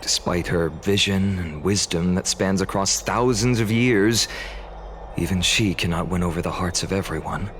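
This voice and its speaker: An actor playing a courteous, earnest young scholar-warrior in a video game, speaking in a formal, measured, explanatory way. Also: male voice, low-pitched, noisy background, lightly acted. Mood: calm, explanatory. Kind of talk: scripted video game dialogue. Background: background music.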